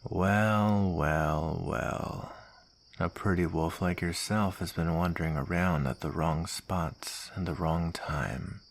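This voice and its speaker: A man's voice: sits low, takes it slowly, gravelly, slight background noise, heavily acted. A man playing a smug soldier villain in an ASMR roleplay, taunting and drawling with mock sweetness and menace.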